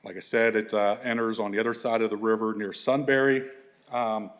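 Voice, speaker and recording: A man's voice: fairly low pitch, level tone, brisk. A middle-aged man, presenting slides to an audience in a loose, conversational way with lots of fillers and restarts. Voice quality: big voice. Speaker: environmental consultant presenting to an audience.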